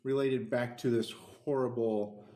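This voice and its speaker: A man co-hosting a podcast in casual conversation, earnest and halting, often correcting himself as he explains. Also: mid-range voice, fairly even intonation, warm tone. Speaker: podcast co-host. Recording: echoey room.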